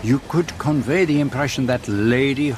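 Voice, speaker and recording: An actor playing a fussy, shrewd detective in a radio drama, with a French-speaking European accent and precise, formal phrasing. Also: male voice, medium pitch, moderate pace, natural voice. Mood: courteous, scheming. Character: fussy, shrewd, courteous foreign male detective. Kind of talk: radio drama acting and first-person narration.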